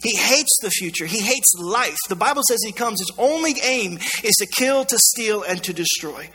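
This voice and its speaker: A man's voice: high-pitched, lively intonation, normal speed, sharp tone, breathy edge. A man with an American accent preaching a sermon in an emphatic, colloquial way, repeating phrases, his voice scratchy and strained. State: scratchy, strained voice going hoarse. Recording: long hall reverb.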